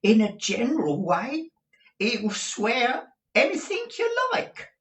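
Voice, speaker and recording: A nervous, stammering underling, as a male reader puts him on, with a stuffy, nasal cold and a voice raised well above normal. He trips over his words and drags them out slowly. The sound is roomy, with the mic set back. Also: extremely high pitch, huge pitch swings, fairly bright tone, voice pushed up, exaggerated voice. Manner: stammering and hesitant. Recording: roomy audio from a distant mic.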